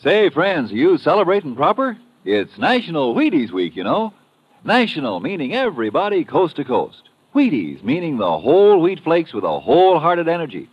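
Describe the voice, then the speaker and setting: A man delivering a folksy, emphatic sales pitch in an old radio commercial. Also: huge pitch swings, moderate pace. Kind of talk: 1950s radio advertisement. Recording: dull old radio recording.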